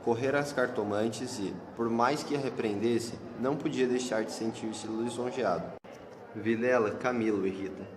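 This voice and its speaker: A man's voice: medium pitch, fairly even intonation, moderate pace. Male radio play narrator in echoey hall. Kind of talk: scripted literary narration. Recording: echoey hall with clear noise.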